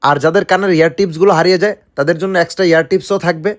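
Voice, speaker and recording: A man's voice: high-pitched, fairly even intonation, very fast. A man with a Bangladeshi accent narrating a promotional product demo as a seller.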